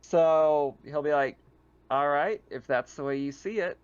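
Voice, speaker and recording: A man's voice: a little high, measured pace, clear, resonant tone, highs cut off. A man running a tabletop roleplaying game, explaining rules and narrating in a casual, conversational way. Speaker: game master.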